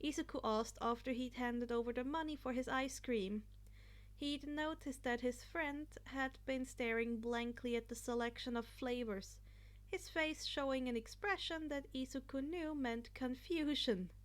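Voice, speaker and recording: Woman reading a story out loud, pretty high voice, takes it slow. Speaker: narrator reading fan fiction. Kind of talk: scripted narration of a story.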